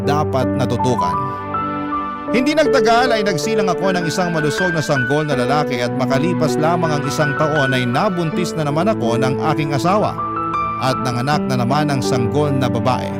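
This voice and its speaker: A Filipino man with a fairly high voice narrates a radio story. He reads a scripted letter in a dramatic style, with very prominent music playing behind him. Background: prominent background music.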